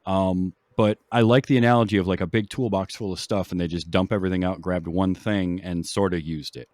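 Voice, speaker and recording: A man hosting a casual film discussion in a conversational, measured, agreeable way. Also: sits low, steady tone, darkish tone, no echo. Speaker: film podcast host.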